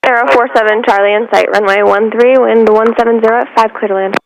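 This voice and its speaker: Female tower controller, extremely fast and creaky, clipped instructions through a slightly noisy aviation radio. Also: a little high, steady tone. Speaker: air traffic tower controller.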